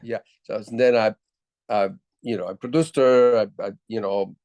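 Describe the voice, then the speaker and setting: An older man from Puerto Rico with a low voice, telling stories as a musician guest in a casual interview, with many halting restarts. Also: fairly even intonation, a little mellow, no echo.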